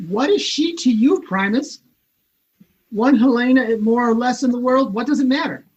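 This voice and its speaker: A male actor playing a weary, distraught old man in a radio drama, halting and husky. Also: very high voice, dull audio. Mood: probing. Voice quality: gravelly, husky. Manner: halting, dramatic, muttering then crying out.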